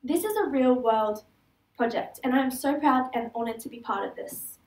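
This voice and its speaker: A woman's voice: high voice, expressive, moderate pace. A fifteen-year-old Australian girl giving an earnest prepared stage talk, with small slips and restarts, on a large auditorium stage. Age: teenager. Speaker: student speaker. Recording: echoey hall, heard from far off.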